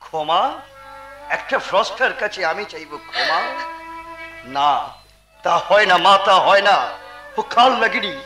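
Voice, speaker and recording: A man playing a scornful, accusing young husband in folk-theatre melodrama, declaiming with drawn-out emphasis. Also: high voice, animated, bright, forceful tone, echoey room, faint music behind, exaggerated voice. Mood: anguished resolve.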